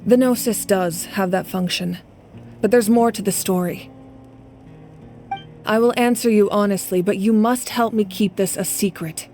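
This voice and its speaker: A woman playing a grave, resolute young leader in a video game, speaking in a measured, authoritative way. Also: mid-range voice, darkish tone, soft background music, close to an everyday voice. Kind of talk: dramatic video game dialogue.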